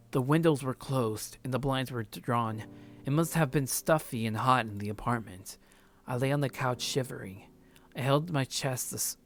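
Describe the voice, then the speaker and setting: Visual novel narrator: numb, heartbroken man read by a man, mid-pitched, brooding, subdued delivery. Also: natural voice. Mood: weary, despondent.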